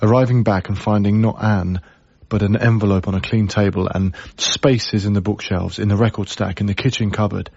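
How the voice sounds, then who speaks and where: A man's voice: fairly low pitch, fairly even intonation, moderate pace, gravelly, hardly any pauses, darkish tone, slightly rough, no echo, dull audio. An actor playing a heartbroken, guarded young man, wry and reflective, in a radio drama. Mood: brooding, reflective. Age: young adult. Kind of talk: radio drama acting with intimate first-person narration.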